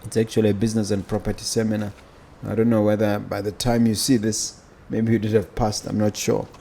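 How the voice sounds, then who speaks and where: Low, mellow, creaky-voiced Botswana man hosting. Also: little pitch movement, brisk, a little hiss or hum. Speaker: podcast host. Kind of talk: casual podcast hosting and interviewing.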